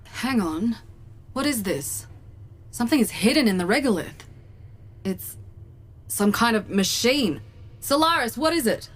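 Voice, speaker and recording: An actress playing a practical, dry, easily exasperated starship co-captain in a sci-fi comedy audio drama. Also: female voice, a little high, lively intonation, a little slow, pauses often. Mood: focused.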